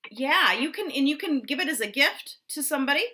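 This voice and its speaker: Female co-host, bright tone, a little high, conversational explainer, keen and emphatic. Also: expressive, brisk. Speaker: show co-host. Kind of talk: casual explanatory chat.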